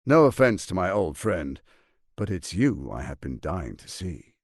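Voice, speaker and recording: An actor voicing a scheming, smug tyrant lord in a video game, speaking in a pompous, silky, menacing and theatrically emphatic way with a gravelly edge. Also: male voice, deep voice, sing-song, measured pace, no echo, clean studio sound, full character voice. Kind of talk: dramatic video game voice acting.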